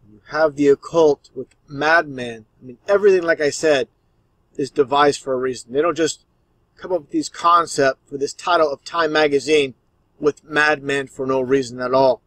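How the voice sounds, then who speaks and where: A man's voice: a little high, fairly even intonation, moderate pace, a bit bright, dry recording, rough recording. A man giving conspiracy commentary over video clips as the host, explaining in an insistent, repetitive way.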